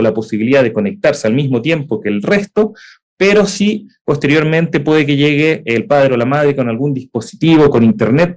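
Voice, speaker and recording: A man's voice: a little high, darkish tone. A young man with a Chilean accent presenting in a webinar, explaining at length with frequent "eh" fillers and rhetorical questions. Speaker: edtech founder presenting.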